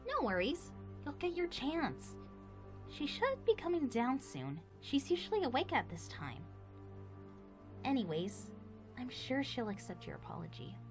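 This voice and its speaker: A kindly guardian pony from an animated fan drama, acted by a woman. She speaks in a gentle, reassuring, motherly way with very lively intonation. Music plays clearly behind her. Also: high-pitched, a little slow, heavily acted.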